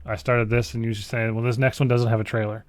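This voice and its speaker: Man, medium pitch, gaming co-host, casual explanatory commentary, blunt, critical, dry close sound. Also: flat delivery, quick pace, dry recording.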